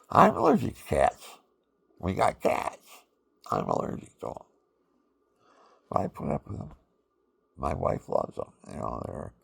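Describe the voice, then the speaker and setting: An older man with a deep voice, talking in a rambling, folksy personal monologue full of fillers. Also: creaky, a little mellow, slightly rough. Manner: folksy, meandering, with many fillers.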